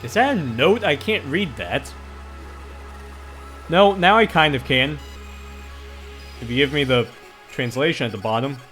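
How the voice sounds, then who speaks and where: A man's voice: mid-range voice, animated, measured pace, long pauses, fairly bright tone. A man doing a casual let's play, reading game text aloud and dropping in off-the-cuff asides. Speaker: video game streamer. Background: music.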